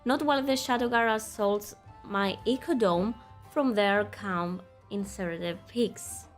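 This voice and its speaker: A woman's voice: mid-range voice, measured pace, faint music behind. Chatty woman streamer, easy laughs. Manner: chatty, laughs easily, reads quest text aloud. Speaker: game streamer. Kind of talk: casual gaming commentary.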